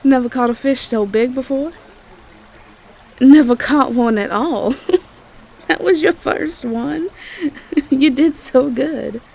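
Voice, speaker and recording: A woman's voice: sits high, slow, creaky, long pauses, darkish tone, heavily acted. A woman playing an affectionate, cheerful young Southern belle girlfriend in a roleplay audio, with a Southern US accent. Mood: delighted, encouraging. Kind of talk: intimate roleplay audio acting.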